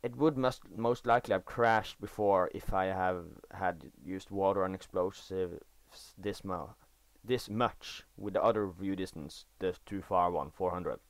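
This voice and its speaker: A teenage boy from Norway talks over a video game in English, his second language, with a clear Norwegian accent. His voice sits a little low for his age, and the voice-over is close and dry. He stumbles, restarts and corrects himself as he goes, and gets keen over game details. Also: steady tone, dry recording. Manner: halting, self-correcting, enthusiastic about game details. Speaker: gaming video creator. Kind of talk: casual gameplay commentary. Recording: dry voice-over recorded after gameplay.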